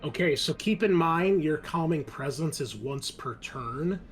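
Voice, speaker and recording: A man's voice: fairly high pitch, animated, a little slow. Man running a tabletop game, music underneath. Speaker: game master. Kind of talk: casual improvised narration of a tabletop game.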